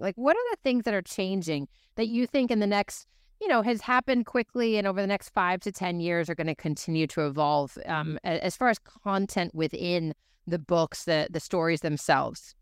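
A woman hosting a casual interview, chatting in an animated, emphatic way. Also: mid-range voice, clear, resonant tone, no echo, studio quality. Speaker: podcast host.

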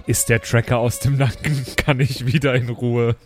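A man's voice: mid-range voice, flat delivery, moderate pace, soft background music. A man improvising in a role-playing game in a playful, theatrical manner. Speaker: player in a tabletop role-playing podcast. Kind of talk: improvised role-play talk.

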